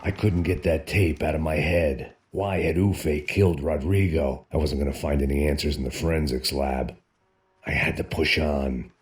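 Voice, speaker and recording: An actor playing a middle-aged, bitter American ex-cop who narrates in a brooding, deadpan noir style. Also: male voice, very deep voice, moderate pace. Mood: grim, determined. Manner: world-weary, brooding, deadpan. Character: weary, bitter, self-loathing American ex-cop antihero. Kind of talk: scripted noir voice-over narration and game dialogue.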